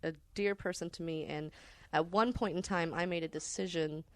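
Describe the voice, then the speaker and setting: Low-voiced woman, deliberate and halting. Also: fairly even intonation, noisy background. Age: adult parent. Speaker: podcast guest telling personal stories. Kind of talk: casual conversational storytelling.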